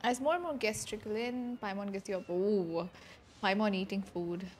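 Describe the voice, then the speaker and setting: A woman's voice: medium pitch, moderate pace, a little hiss or hum. A young adult woman reacting casually to a game on a livestream. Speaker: game streamer. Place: indoor room, streaming setup. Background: game music.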